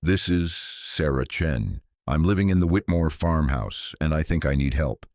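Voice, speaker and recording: A scared young woman who speaks in a pleading, anxious way, voiced by a man. Her lines sit above a deep reading voice. Close and dry. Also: expressive, unhurried, darkish tone, dry recording, exaggerated voice.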